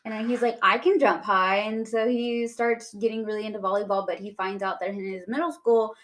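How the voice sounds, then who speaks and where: A woman's voice: medium pitch, fairly even intonation, brisk, a bit bright. A woman who grew up speaking Spanish alongside English, chatting casually as a podcast co-host in a rambling, informal way full of filler "like". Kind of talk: casual chat about anime.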